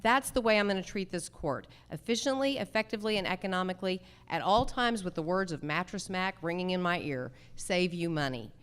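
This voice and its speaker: A woman candidate for judge speaking formally at a forum, deliberate, articulate and emphatic. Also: fairly low pitch, animated, fairly bright tone, clear, resonant tone. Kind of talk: formal forum answers, measured campaign speech.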